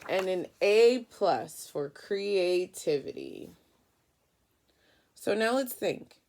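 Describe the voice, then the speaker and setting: A woman's voice: medium pitch, very animated, drawn-out words, creaky, darkish tone. A woman teacher reading a picture book aloud to children in an instructive, questioning way. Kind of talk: children's read-aloud lesson.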